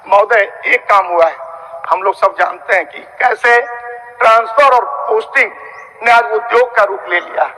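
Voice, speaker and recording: A man's voice: very high-pitched, creaky, pushed and bright. A man gives a fiery opposition speech in a legislature, combative and accusatory, repeating himself in rhetorical questions. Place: large legislative chamber. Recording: reverberant hall sound system.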